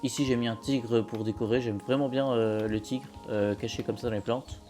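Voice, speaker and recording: A man giving a casual walkthrough commentary of a game build as host, speaking in a hesitant, halting way. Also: mid-range voice, steady tone, dark tone, faint music behind. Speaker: host presenting his zoo build.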